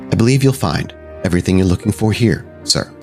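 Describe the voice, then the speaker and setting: Man voicing a poised, formal vampire castellan woman, low-pitched. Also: expressive, gravelly, a little mellow, faint music behind. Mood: courteous, faintly mocking. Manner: formal, measured, deferential.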